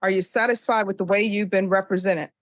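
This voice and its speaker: Female judge, American, mid-range pitch. Brisk, authoritative, formal recitation of procedure, recorded dry. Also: fairly even intonation, no room sound. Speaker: judge presiding over a plea hearing. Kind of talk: formal procedural courtroom questioning. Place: courtroom.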